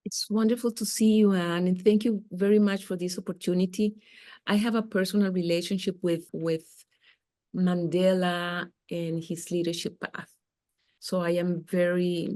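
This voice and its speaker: A woman's voice: on the low side, level tone, normal speed, mellow, no echo. A middle-aged woman from Costa Rica, a diplomat, answering interview questions thoughtfully with small repetitions. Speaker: guest diplomat.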